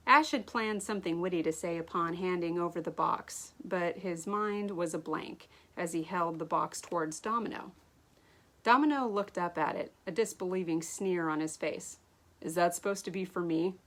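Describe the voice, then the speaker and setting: Expressive woman reading a story aloud. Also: on the low side. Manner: animated, stumbles over words and rereads. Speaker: author reading own story.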